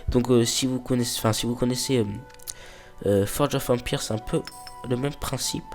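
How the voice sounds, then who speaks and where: A man's voice: medium pitch, steady tone, moderate pace, soft background music. A man with a stuffy nose from a cold, casually commenting on a mobile game he plays, hesitant and full of fillers, sometimes reading the game's dialogue aloud. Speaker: let's play host.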